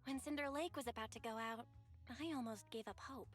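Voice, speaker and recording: A woman's voice: on the high side, brisk, natural voice. An actress playing an emotional, worried young woman biker in a video game. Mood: earnest. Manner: dramatic, emotional. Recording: loud game music underneath.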